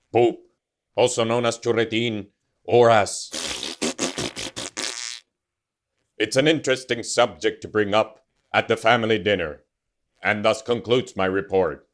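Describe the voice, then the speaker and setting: A man voicing a dry, blunt puppet TV reporter in a formal, deadpan mock-news style. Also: lowish voice, moderate pace, lightly acted. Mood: solemn, blunt.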